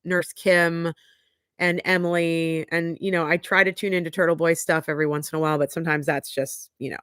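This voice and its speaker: A woman's voice: low-pitched, little pitch movement, brisk, a bit bright, clear, resonant tone, dry recording, clean studio sound. A woman with a stuffy, sniffly nose hosting a casual livestream recap, chatty and bluntly opinionated, with lots of fillers. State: congested, sniffly. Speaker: livestream host. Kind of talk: casual chat, trial recap. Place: home livestream.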